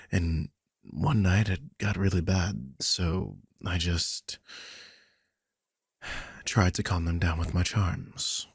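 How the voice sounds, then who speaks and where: A man's voice: sits low, no room sound, clean studio sound, heavily acted. A man playing a worried, guilty vampire king who is protective of his charge, confiding wearily in an audio roleplay. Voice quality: husky, breathy. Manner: confiding, weary, sighing, swears. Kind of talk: dramatic audio roleplay, one side of a conversation.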